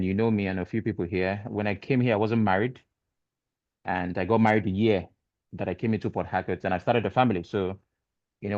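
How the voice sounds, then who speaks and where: A man who works in radio, speaking as a panelist on a video call in a relaxed, joking way. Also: lowish voice, no room sound. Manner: relaxed and self-deprecating, joking. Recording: dull lo-fi call audio.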